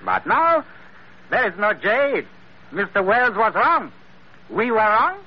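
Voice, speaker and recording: Polite little crook, high lilting voice, formal, stilted, calculating, muffled old radio drama. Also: male voice, big pitch swings, takes it slowly, slightly rough, heavily acted. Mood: measured, explaining. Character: small, polite, calculating Chinese villain with gun. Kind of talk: old-time radio adventure acting.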